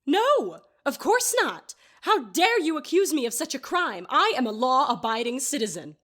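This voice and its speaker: A woman's voice: sits high, very animated, moderate pace, fairly bright tone. A woman playing a haughty, easily offended older lady in an audio drama, formal and scolding in manner. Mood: indignant.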